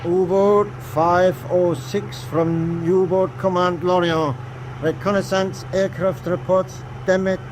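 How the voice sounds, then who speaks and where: A stern German U-boat captain, proud and formal. The voice is high for a man, with clear engine ambience behind it, in a wartime radio play. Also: level tone, slow. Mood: measured, official. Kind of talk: wartime radio drama acting. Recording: clear vessel noise behind voice.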